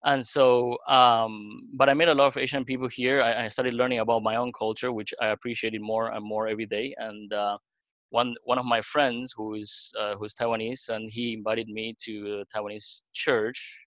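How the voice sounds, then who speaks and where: A middle-aged man from Argentina, a pastor, sharing his story in a halting way with many fillers and restarts. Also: medium pitch, little pitch movement, fairly bright tone, no room sound, muffled recording. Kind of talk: panel talk.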